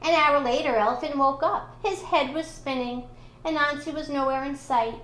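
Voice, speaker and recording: A woman reading a children's storybook aloud in expressive narration. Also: high voice, normal speed, reverberant. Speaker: school storybook reader.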